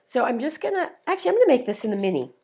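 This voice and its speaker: A woman's voice: sits high, very animated, very fast, mellow, a little hiss or hum. Chatty woman demonstrating crafts. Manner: chatty, upbeat step-by-step explaining. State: slightly losing her voice. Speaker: craft demonstrator, show host. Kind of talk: live-streamed craft tutorial.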